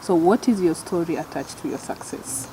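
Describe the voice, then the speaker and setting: Low, mellow woman hosting. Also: normal speed, a little hiss or hum, low-quality recording. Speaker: interview show host. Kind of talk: conversational interview hosting.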